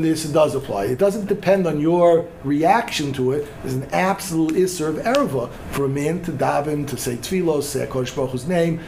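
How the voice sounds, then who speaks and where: Slightly high-voiced rabbi teaching a class. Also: male voice, a little mellow, a little hiss or hum.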